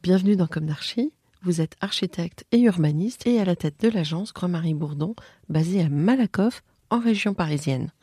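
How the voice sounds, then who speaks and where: Husky low-voiced French woman host. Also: lively intonation, normal speed, mellow, no echo, studio quality. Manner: hesitant, many fillers. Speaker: podcast host. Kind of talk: interview.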